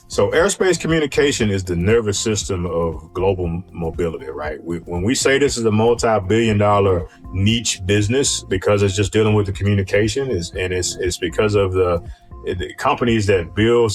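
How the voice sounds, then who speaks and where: A man co-hosting a podcast, explaining business points conversationally and loosely, often checking in with a tagged-on "right?". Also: low-pitched. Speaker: podcast co-host.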